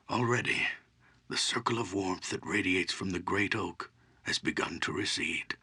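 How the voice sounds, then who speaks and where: An actor playing a grave old archdruid in a fantasy video game, speaking solemnly and deliberately with a gravelly, husky voice. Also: male voice, fairly low pitch, bright, forceful tone, full character voice. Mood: grave, foreboding. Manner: solemn, deliberate, portentous storytelling.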